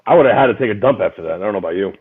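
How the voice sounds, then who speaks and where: A man hosting a casual fan chat, loud and rambling, often repeating his words. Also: fairly low pitch, lively intonation, racing, breathy edge, narrow, tinny audio. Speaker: podcast host.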